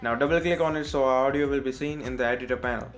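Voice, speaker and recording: A man with an Indian accent narrating a step-by-step software tutorial as its host, in a scripted style. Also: medium pitch, very fast, faint music behind.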